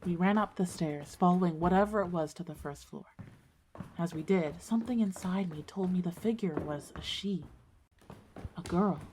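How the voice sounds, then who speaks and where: A woman as a low-voiced, haunted schoolgirl narrator. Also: normal speed, noisy background, close to an everyday voice. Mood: awed, hushed. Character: Marjory, haunted schoolgirl narrator, earnest and uneasy. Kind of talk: scripted first-person ghost story narration and dialogue.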